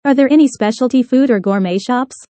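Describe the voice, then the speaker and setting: A woman reading lesson prompts in a measured, deliberate way. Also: fairly high pitch, warm tone, dry recording. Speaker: language lesson reader. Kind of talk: scripted phrase reading.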